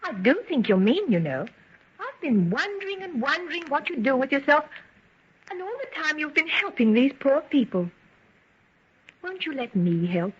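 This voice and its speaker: A woman's voice: high-pitched, big pitch swings, moderate pace. An actress playing a well-bred, adoring young society woman, eager and coaxing, in an old-time radio drama. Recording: dull, lo-fi vintage radio recording.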